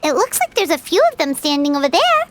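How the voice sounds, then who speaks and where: A woman's voice: sits high, very animated, fast talker, background noise. A woman voicing a tiny, cheerful and curious childlike fairy companion in a video game. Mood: wry, resigned. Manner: refers to self in third person, perky. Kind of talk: video game character dialogue. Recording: music behind the voice.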